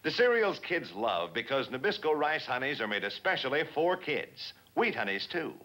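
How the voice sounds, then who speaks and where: A man's voice: big pitch swings, normal speed, bright, forceful tone. A man voicing a puppet boy selling a cereal giveaway in an upbeat 1950s TV commercial. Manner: upbeat sales pitch.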